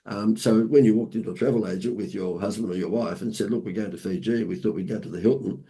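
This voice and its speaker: An Australian man, hoarse from laryngitis, telling lively marketing anecdotes as a podcast guest with playful asides. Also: fairly low pitch, fast talker, rough recording. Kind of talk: casual storytelling interview.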